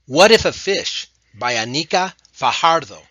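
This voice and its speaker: A man announcing award categories and book titles in a slow, measured way. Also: fairly high pitch, expressive, bright, forceful tone, no room sound. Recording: dull lo-fi dry recording.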